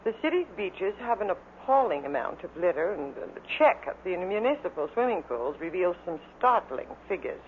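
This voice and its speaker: A man's voice: sits high, big pitch swings, normal speed, few pauses. A man playing an earnest announcer reading a formal report in mid-century radio style. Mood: grave, formal. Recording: tinny, faintly noisy old radio.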